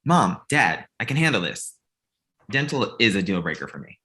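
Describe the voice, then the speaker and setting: A man's voice: on the low side, sing-song, moderate pace, sharp tone. A man playing a cocky, wry grown son who lives with his parents, in a comedy table read. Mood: wry, eager. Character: cocky, needy grown son, amateur film buff, living with parents.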